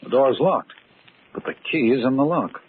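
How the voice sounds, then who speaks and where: A man's voice: mid-range voice, expressive, moderate pace, lightly acted. An actor playing an accused man hunting a murderer in an old-time radio mystery, urgent and earnest with a dry, ironic edge. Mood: tense. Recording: tinny, noisy old radio recording.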